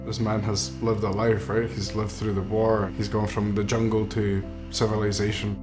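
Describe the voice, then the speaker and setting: A man's voice: lowish voice, flat delivery, moderate pace. A young man in his twenties who grew up in Mexico, a filmmaker telling his grandfather's story in an earnest, reflective way. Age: 20s. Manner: earnest, reflective, with some fillers. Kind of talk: documentary narration. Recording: roomy with music underneath.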